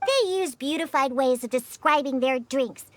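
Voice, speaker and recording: A woman's voice: moderate pace, slight background noise, close to an everyday voice. A woman voicing a feisty young catgirl bartender in a video game, with a childlike voice and an emphatic, indignant manner. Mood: disdainful. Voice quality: high, bright, childlike. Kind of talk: animated video game character acting.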